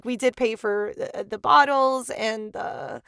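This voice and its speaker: A young woman co-hosting a podcast, chatting casually and animatedly, acting out quotes in quick asides. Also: on the high side, fairly bright tone, clear, resonant tone, dry recording, clean studio sound. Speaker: podcast co-host. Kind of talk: casual chat.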